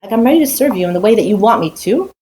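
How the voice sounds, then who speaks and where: A woman's voice: mid-range voice, animated, very fast, dark tone, a little hiss or hum. An adult woman teaching a weekly Torah portion in a casual, earnest way, with frequent restarts and rhetorical questions. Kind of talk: casual spoken Torah talk.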